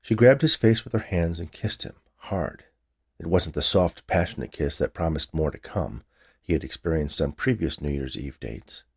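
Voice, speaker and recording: A man's voice: extremely low pitch, expressive, normal speed, gravelly, husky. A man narrating his own short story as a writer reading aloud.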